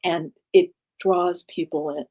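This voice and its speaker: Middle-aged woman with a soft airy voice, kinda low and warm, laid-back coach talk. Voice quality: soft, breathy voice. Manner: calm, unhurried, laid-back. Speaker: guest, executive coach. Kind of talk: conversational interview.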